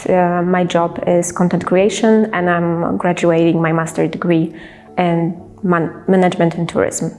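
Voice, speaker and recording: Young woman from Poland, lowish voice. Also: flat delivery, unhurried, a little mellow, faint music behind. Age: young adult. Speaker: program participant. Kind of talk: interview answers.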